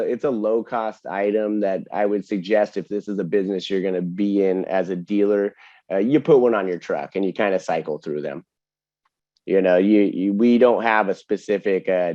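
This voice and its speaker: A man's voice: fairly low pitch, normal speed, mellow, dry recording, muffled recording. A man explaining technical details in a casual webinar Q&A, often checking for agreement as he goes. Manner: explanatory, often seeks agreement with tag questions. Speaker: webinar host and distributor product expert.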